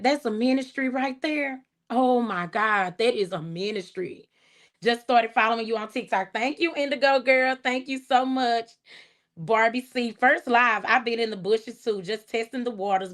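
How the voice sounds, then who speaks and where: A woman hosting her first livestream, chatting casually and warmly, thanking viewers by name and laughing often. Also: on the high side. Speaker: livestream host. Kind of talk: casual livestream chat reading comments.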